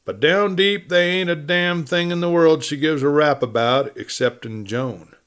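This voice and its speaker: A man's voice: on the high side, a little slow, fairly bright tone, smooth, voice pushed up. A man performs a tough cowboy from a western storybook. He preaches with unshakable conviction in an American Western drawl. His manner is folksy and opinionated, like someone schooling a younger partner. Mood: insistent, emphatic.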